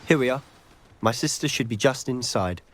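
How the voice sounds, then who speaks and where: A young actor with a British accent playing a determined, protective teenage schoolboy wizard in a video game, earnest and confiding. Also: male voice, mid-range voice, expressive, moderate pace, close to an everyday voice. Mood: matter-of-fact.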